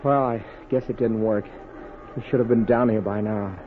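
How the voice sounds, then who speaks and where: An actor playing a confident, clean-cut young college football hero, brisk and earnest, in 1940s radio style. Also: male voice, lowish voice, fairly even intonation, lightly acted. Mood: tough, determined. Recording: old narrow-band radio, faint noise.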